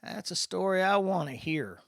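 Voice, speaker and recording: A man's voice: sits high, animated, normal speed, vocal fry, no room sound, clean studio sound, voice pushed up. A man voicing an easygoing, folksy spacefarer with a drawl in a dramatic story reading. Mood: amiable, knowing. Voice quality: Southern-style drawl.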